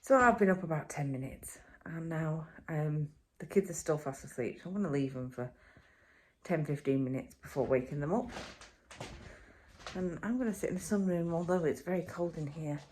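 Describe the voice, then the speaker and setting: A woman vlogging from a cold sunroom at home first thing in the morning, chatting in a rambling way with plenty of ums, her voice still croaky from sleep. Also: deep voice, normal speed, a little hiss or hum. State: croaky, just woken up. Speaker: vlogger, mother. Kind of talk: casual morning vlog chat to camera.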